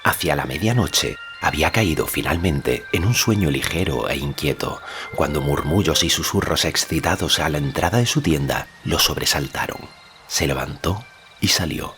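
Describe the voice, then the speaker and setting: A man narrating a fantasy novel as an audiobook, in a measured, solemn storytelling manner. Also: rumbling low, animated, noisy background. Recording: music behind the voice. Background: background music.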